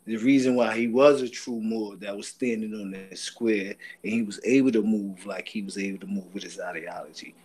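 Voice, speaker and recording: A man's voice: on the low side, fairly even intonation, moderate pace, hardly any pauses, darkish tone. A man in a heated informal group debate, speaking insistently and emphatically and repeating himself to drive his points home.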